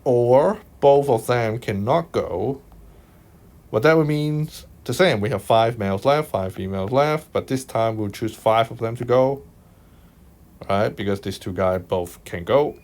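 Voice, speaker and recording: A man from Hong Kong with a Hong Kong accent, talking through a maths exam solution as a tutor, unhurried and thinking aloud, checking in with his listeners. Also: mid-range voice, darkish tone. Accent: Hong Kong Cantonese. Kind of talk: explaining a worked exam solution over screen recording. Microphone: voice-over on screen recording.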